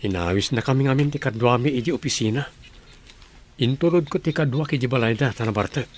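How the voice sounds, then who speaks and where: Lalaking gumaganap na malambing na batang asawa. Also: male voice, mid-range voice, brisk, a little hiss or hum, natural voice. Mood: affectionate. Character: easygoing young husband, affectionate and mild. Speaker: office worker husband. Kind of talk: radio drama acting.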